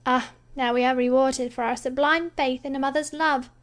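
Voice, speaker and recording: A woman's voice: high-pitched, steady tone, normal speed, voice pushed up. A woman voicing an earnest, motherly girl who tells a story with theatrical flair. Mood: storytelling. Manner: theatrical, sing-song telling.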